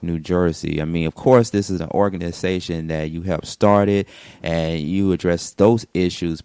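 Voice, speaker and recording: A man's voice: sits low, a little mellow, clean tone, no room sound. A man hosting a morning radio show, asking long, rambling questions full of restarts.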